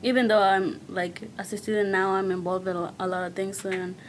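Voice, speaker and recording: A young woman from Mexico with a Mexican Spanish accent, answering interview questions as a student and pausing to search for her words. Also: medium pitch, level tone, a little hiss or hum. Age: young adult.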